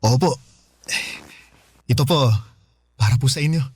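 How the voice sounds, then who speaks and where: A man's voice: mid-range voice, unhurried, no room sound, close to an everyday voice. An actor with a Filipino accent playing a hardworking, affectionate son in his thirties in a radio drama, speaking respectfully and casually. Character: hardworking, dutiful, affectionate 35-year-old son.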